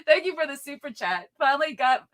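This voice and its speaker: A young woman co-hosting a casual comics livestream, playful and quick to laugh as she reads out viewer comments. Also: high voice, lively intonation, fairly bright tone. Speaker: co-host reading viewer comments. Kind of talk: casual chat.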